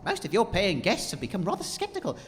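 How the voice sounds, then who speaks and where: A man playing a polite, hesitant roving radio presenter in a comedy audio drama, speaking with animated awkwardness. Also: high-pitched, quick pace, in a large hall, a little hiss or hum. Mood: doubtful.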